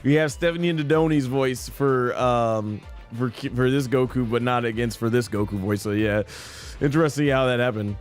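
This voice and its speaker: A man's voice: medium pitch, fairly even intonation, moderate pace. A man giving casual live commentary as a gaming streamer. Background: game music.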